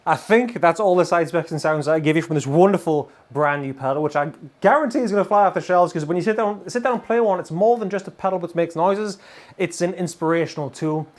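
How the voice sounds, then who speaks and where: A man in his thirties giving an excitable, informal gear demo as a guitar reviewer. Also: high-pitched, fast. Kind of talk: enthusiastic casual product demo. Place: indoor guitar studio room. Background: electric guitar playing.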